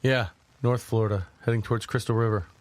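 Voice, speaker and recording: A man playing a guarded, easygoing young hiker who narrates his own journey in a low-key, conversational way. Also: fairly even intonation, quick pace, natural voice. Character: John, easygoing but guarded young man hiking long-distance. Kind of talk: first-person audio drama narration and dialogue. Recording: music behind the voice.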